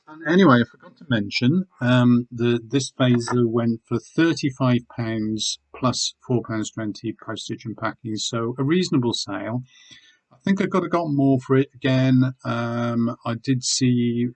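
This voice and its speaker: A middle-aged man with a slightly hoarse, throaty voice, chatting about things he is selling. He pitches his voice in an ordinary middle register and keeps circling back with ums and restarts, in an unhurried home-video way. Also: fairly even intonation, a little mellow. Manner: rambling, with many ums and restarts. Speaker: eBay seller reviewing weekly sales. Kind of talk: casual video chat showing items.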